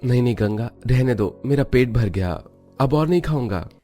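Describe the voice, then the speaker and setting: A man's voice: medium pitch, expressive, normal speed, dark tone, faint music behind, close to an everyday voice. A man playing a poor, humble village farmer and father in a cartoon, speaking deferentially. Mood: gentle refusal. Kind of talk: Hindi cartoon dialogue.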